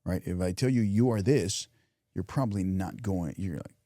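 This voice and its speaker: A man co-hosting a podcast, talking casually and reflectively, often restarting phrases and dropping softer for imagined inner thoughts. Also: low-pitched, normal speed, no room sound, clean studio sound. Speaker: podcast co-host. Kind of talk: casual motivational chat.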